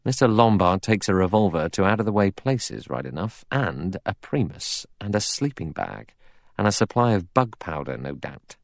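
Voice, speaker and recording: A man's voice: low-pitched, sing-song, moderate pace, no echo, studio quality. A man voicing a blunt, suspicious male ex-police inspector in an audiobook, with a grunting, doubtful manner.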